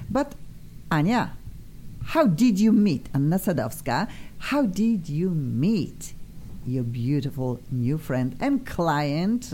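Effusive low-voiced Polish-accented woman host. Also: dark tone, a little hiss or hum. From Poland. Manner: effusive, enthusiastic, gushing praise. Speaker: radio talk show host. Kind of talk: live radio talk show chat. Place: radio studio.

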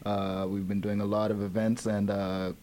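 A man speaks in a low, mellow voice. His American accent carries a light Zimbabwean tinge, and he talks easily and without hurry as a radio guest. A faint hum sits behind him. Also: little pitch movement, normal speed. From Seattle, family from Zimbabwe. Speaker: guest, photographer. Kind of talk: casual radio interview.

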